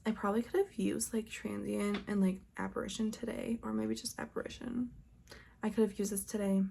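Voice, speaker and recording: Female beauty creator, medium pitch, chatty and informal, casual show-and-tell delivery with little asides. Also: level tone, brisk, gravelly, warm tone, slight background noise. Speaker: beauty vlogger.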